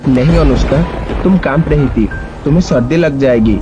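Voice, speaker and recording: A man playing a caring, smitten young office boss in an animated story, gently insistent, with music and rain behind him. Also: medium pitch, normal speed, lo-fi sound, natural voice. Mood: caring, reassuring.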